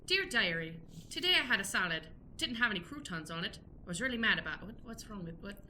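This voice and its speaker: Actress as stern, pious scold: sharp, very animated voice, theatrical and wry, radio-play comedy, slightly noisy recording. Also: female voice, mid-range voice, fast. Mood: theatrical reading. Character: stern, pious, scolding airship-era busybody woman. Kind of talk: improvised radio play acting.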